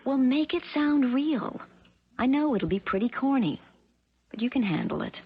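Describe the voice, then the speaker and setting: A woman's voice: sits high, big pitch swings, moderate pace, breathy edge, heavily acted. An actress playing a cold, spoiled, scheming young rich woman in a 1970s radio drama, speaking in a haughty, cutting way. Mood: scheming, firm. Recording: dull, noisy 1970s radio recording.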